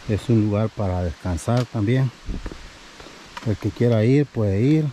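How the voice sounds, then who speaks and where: A man's voice: fairly low pitch, unhurried, warm tone, slight background noise, low-quality recording. Man vlogging on a wooded trail. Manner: chatty, addresses viewers as "mi gente". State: a little out of breath from climbing. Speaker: family vlogger. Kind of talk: casual hiking vlog narration. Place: wooded hiking trail outdoors. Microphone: action camera held at arm's length.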